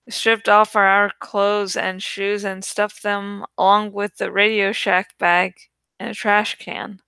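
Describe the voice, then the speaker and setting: A woman narrates adventure fiction with expressive suspense. Her voice is bright and smooth, a little gravelly at the edges. She takes her time with each line. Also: medium pitch, measured pace, dry recording. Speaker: audiobook reader. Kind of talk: dramatic scripted narration of a young adult novel.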